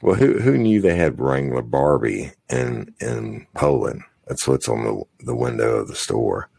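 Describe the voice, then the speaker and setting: A man with a very deep, gravelly and somewhat husky voice co-hosts a crime news podcast. He reads the stories aloud and breaks in with profane jokes. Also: expressive, a little slow, a little mellow. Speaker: podcast co-host. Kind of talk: casual crime news chat.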